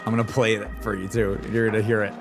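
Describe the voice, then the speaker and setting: A man chatting casually as a streamer reacting to music, with an excitable, slangy, rambling way of talking. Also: fairly low pitch, quick pace, creaky. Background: music playing behind the voice.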